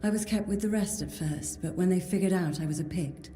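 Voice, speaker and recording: A woman's voice: lowish voice, a little fast, mellow, a little hiss or hum, lightly acted. A woman playing a weary, sardonic horned female captive in a fantasy video game, dry and guarded. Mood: guarded, somber. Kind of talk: video game character dialogue.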